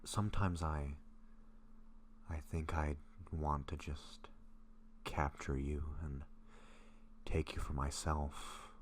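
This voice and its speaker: A man playing a gentle, apologetic, lovestruck vampire in an intimate audio roleplay, speaking in hesitant, breathy murmurs and halting phrases. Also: a bass voice, steady tone, a little hiss or hum. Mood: earnest, longing. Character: gentle, apologetic lovestruck young male vampire, shyly hungry.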